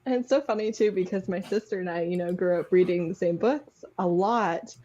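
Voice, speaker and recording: A woman's voice: on the low side, fairly quick, warm tone. Woman chatting casually, slightly dull audio. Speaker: guest on a friends' livestream. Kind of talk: casual group chat.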